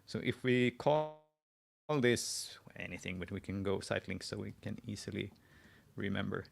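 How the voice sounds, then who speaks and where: A man with a Swedish accent hosting a live screen-share tutorial, thinking aloud as he types, often pausing and restarting his sentences. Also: fairly low pitch, creaky, slight background noise. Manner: thinking aloud while typing, frequent restarts. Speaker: host.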